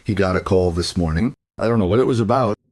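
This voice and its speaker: An actor playing a smug, suave and evasive violin dealer and smuggler in a radio play. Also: male voice, low-pitched, fast talker, slightly rough, no room sound. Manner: suave, self-assured explaining.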